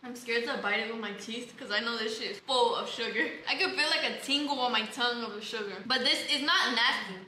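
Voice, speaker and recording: A woman's voice: fairly high pitch, fairly quick, hardly any pauses, pushed and bright, a little hiss or hum. A young woman in her twenties, blunt and slangy, giving teasing opinions in a casual chat. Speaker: vlog co-host. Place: bright living room. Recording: echoey room, faint ambience.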